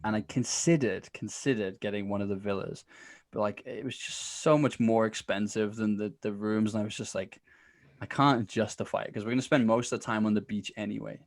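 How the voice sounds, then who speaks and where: A man's voice: fairly low pitch, fairly even intonation, a little fast, no echo, studio quality. A man speaking as a podcast guest in a casual, chatty storytelling style, acting out bits of conversation as he goes. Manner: chatty, informal, acts out quoted exchanges.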